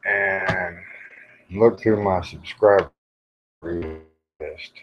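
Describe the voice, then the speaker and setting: Male livestream host, fifties. Very slow, folksy rambling, half muttered to himself, through dull lo-fi sound. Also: sits low, steady tone, slight background noise. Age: 50s. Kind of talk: casual rambling live chat.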